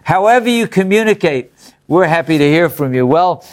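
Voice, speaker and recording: A man's voice: on the high side, animated, normal speed. An English man hosting a Bible study program, reading out viewer letters in an upbeat way and chuckling between remarks. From England. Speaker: host.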